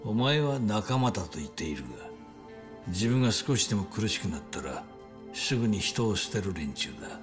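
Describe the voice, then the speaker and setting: An actor playing a composed middle-aged yakuza boss in a dramatic game scene, measured, grave and authoritative. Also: male voice, on the low side, big pitch swings. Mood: stern, grave. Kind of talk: dramatic video game acting in Japanese. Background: music.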